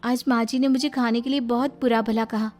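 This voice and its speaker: Casting: woman as soft-hearted, obedient young bride for cartoon family drama, high voice. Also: steady tone, fairly quick, close to an everyday voice. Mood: hurt. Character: gentle, apologetic young daughter-in-law. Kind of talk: cartoon moral story acting. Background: faint music.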